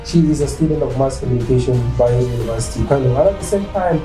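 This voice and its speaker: A man with a Nigerian accent hosting a talk program, asking questions in a formal, measured way. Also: medium pitch, lively intonation. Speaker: host. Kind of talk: radio-style interview. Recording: echoey room with music behind.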